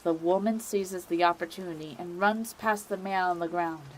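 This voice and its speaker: A woman's voice: lowish voice, moderate pace, slight background noise, rough recording. A woman reading scripted narration for a dramatic audio story.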